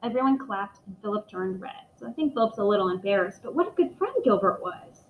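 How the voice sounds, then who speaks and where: A woman teacher reading a picture book aloud to young children, expressive and speaking to them directly. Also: mid-range voice, quick pace, slight background noise. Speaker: elementary school teacher reading aloud to pupils. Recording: echoey room, dull muffled microphone.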